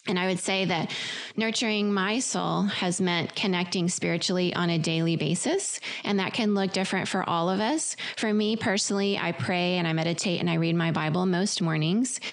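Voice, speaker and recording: A woman in her forties talks earnestly from her home office, her voice sitting a little low. She speaks close into a large desk microphone, now and then dropping in a soft laugh or a filler. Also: moderate pace. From Venezuela. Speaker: guest speaker, former pastor. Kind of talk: giving tips to camera. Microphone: large desk mic close in front, earbuds.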